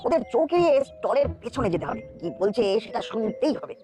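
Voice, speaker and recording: Cheeky child sleuth for cartoon, female actor: high-pitched, very fast, animated, music behind. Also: natural voice. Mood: suspicious. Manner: animated, questioning. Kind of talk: comic cartoon dub.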